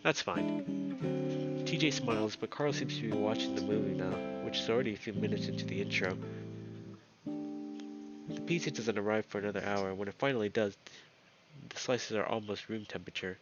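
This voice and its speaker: A man's voice: fairly low pitch, fairly quick. A man reading a visual novel aloud as a let's player, narrating the scenes. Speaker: video game let's player. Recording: dull lo-fi audio under loud guitar music. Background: loud plucked guitar music.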